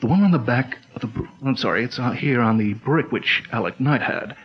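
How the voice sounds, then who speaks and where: A calm detective chief who lays out clues in a steady, commanding voice, played by a man. The voice sits at an ordinary mid pitch. The sound is dull and lo-fi, like an old radio recording. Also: a little fast, slight background noise, close to an everyday voice. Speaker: lead detective. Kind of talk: 1940s radio drama acting.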